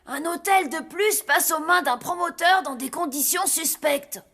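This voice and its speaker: A woman voicing an eager, excitable zombie boy in a cartoon dub. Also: very high-pitched, a little slow, heavily acted. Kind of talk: French cartoon dubbing.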